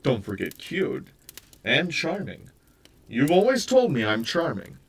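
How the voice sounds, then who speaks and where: A flustered man fumbling for excuses, stammering with hesitations and pitched a little high, as a man narrator puts him on. The voice sits a little back from the microphone. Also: huge pitch swings, measured pace, gravelly, husky, heavily acted. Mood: defensive. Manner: stammering, full of uh fillers. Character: flustered, fast-talking man dodging blame, excuses galore. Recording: voice a bit far from the mic.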